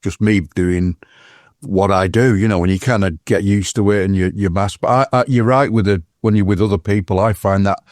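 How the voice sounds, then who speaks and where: A man, a podcast guest who talks in a rambling, halting way full of restarts. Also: fairly low pitch, fairly even intonation, darkish tone, no echo, studio quality. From England. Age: 60s. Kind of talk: casual chat.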